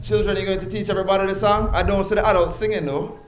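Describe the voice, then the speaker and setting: A man's voice: sits high, fairly even intonation, very fast. A man with a Jamaican accent speaking at a community awards ceremony, chatty and informal, often breaking off to sing bits of old jingles. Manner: chatty, warm, breaks into song, restarts often. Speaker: guest speaker, executive director. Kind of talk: public speech at a ceremony.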